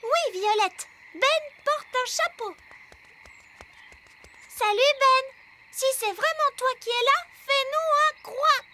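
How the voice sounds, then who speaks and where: High little fairy princess girl. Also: slow, pauses often, very noisy. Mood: earnest. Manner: childlike, earnest, expressive. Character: little fairy princess girl, eager, anxious about mishaps. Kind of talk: dubbed preschool cartoon acting.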